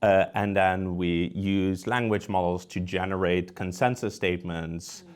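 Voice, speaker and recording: A man's voice: low voice, little pitch movement, a little slow, darkish tone, clear, resonant tone. A man with a Dutch accent, a researcher giving conversational, explanatory answers as an interview guest. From Netherlands.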